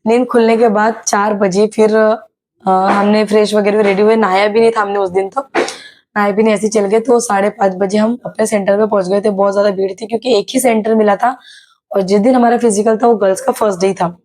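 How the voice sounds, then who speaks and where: A young woman from Maharashtra with a slightly sore, hoarse throat, telling a casual vlog story with many hesitations. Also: medium pitch, fairly even intonation, fairly quick, a little mellow. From Maharashtra, India. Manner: chatty, many hesitations and English words mixed in. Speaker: YouTuber sharing her exam experience.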